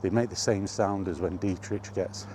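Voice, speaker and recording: A man's voice: low voice, steady tone, moderate pace, background noise. A middle-aged man rambling casually in a personal gaming vlog, reminiscing in an informal way. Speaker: gaming vlogger. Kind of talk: casual rambling chat about retro gaming.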